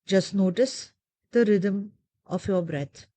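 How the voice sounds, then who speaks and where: A woman leading a guided meditation with gentle, measured, soothing instructions and deliberate pauses. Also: mid-range voice, no room sound.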